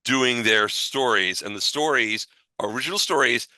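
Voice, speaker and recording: A man co-hosting a casual fan discussion in a halting, self-correcting way, as if thinking aloud. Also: medium pitch, sharp tone, dry recording, poor audio. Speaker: podcast co-host.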